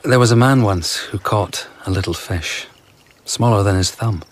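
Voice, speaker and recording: An actor playing an enigmatic, flirtatious traveller in a radio drama, telling tales in an unhurried, playful way. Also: male voice, on the low side, lightly acted. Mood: playful, storytelling. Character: enigmatic, charming, flirtatious well-travelled male storyteller of uncertain age. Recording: outdoor garden ambience with insect chirps.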